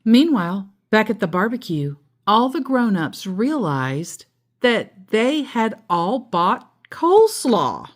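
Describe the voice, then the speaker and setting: A woman tells a children's story in an expressive read-aloud style. Her delivery is slow and highly animated in pitch, and she slips into casual asides as she goes.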